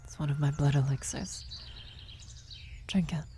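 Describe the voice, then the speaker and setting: A woman acts out a worried female vampire in a medieval fantasy ASMR roleplay. Her low voice is soft and mellow, often slipping into a whisper. She speaks haltingly and gently, with faint birdsong and nature ambience behind her. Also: steady tone, a little hiss or hum. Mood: gentle, guiding. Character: worried female vampire blood sorcerer, tender, guilty lover. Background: birdsong, nature ambience effects.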